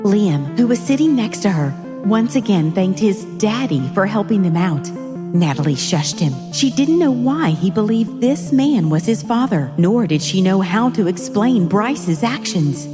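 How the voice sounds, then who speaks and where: A woman's voice: medium pitch, very animated, a little slow, in a large hall. A woman narrating a scripted drama in a storytelling style. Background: music.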